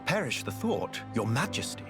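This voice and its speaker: An actor playing an unctuous, scheming soldier in a video game, speaking in a flowery, obsequious, archaic formal way. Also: male voice, mid-range voice, big pitch swings, normal speed, music in the background. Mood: fawning, grandiose. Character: fawning male soldier, unctuous and scheming courtier.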